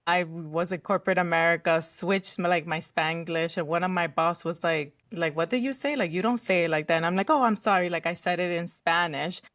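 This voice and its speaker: A woman co-hosts a casual interview with a slightly low voice. She speaks animatedly as she puts questions to the guest. The recording is dry, with no room sound. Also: a little fast, hardly any pauses, fairly bright tone, no echo. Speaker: co-host.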